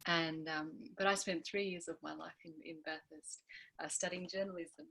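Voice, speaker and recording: Low, gravelly-voiced woman on a call. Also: steady tone, fairly bright tone, poor audio. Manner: friendly, a bit halting. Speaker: audience member. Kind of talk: casual greeting. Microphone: video call.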